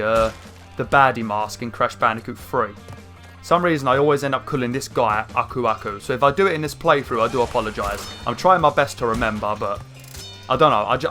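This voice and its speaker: A young man with a croaky throat, rambling through casual gameplay commentary with lots of fillers. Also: medium pitch. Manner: rambling, chatty, many fillers, excited outbursts. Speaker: gaming channel host. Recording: faint game music behind. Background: game music.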